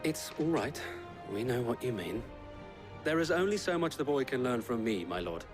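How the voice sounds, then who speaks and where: A man's voice: mid-range voice, very animated, normal speed, over music, lightly acted. A man playing a loyal, courteous knight who commands men in a game drama, speaking formally and dutifully. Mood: encouraging. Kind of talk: video game drama acting.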